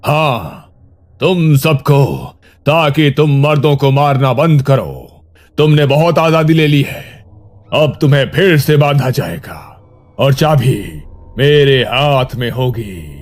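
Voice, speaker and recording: A man's voice: medium pitch, takes it slowly, pitched above the performer's own voice, lightly acted. A man voicing a cruel, commanding village priest in an angry, menacing manner for a horror story. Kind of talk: dramatic Hindi horror story acting.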